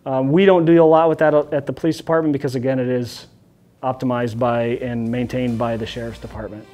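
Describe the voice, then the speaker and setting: A man's voice: mid-range voice, steady tone, a little fast, warm tone, soft background music. A middle-aged man, a police officer, giving an informal explanation to camera in an indoor meeting room.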